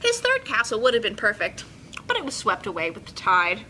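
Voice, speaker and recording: Young female children's librarian, very lively intonation, encouraging, with some room rumble behind her. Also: brisk, low-quality recording. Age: young adult. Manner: expressive, encouraging. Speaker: children's librarian hosting yoga storytime. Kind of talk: picture-book reading and friendly instruction for children. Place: indoor children's room with carpeted steps. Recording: clear room noise, low rumble.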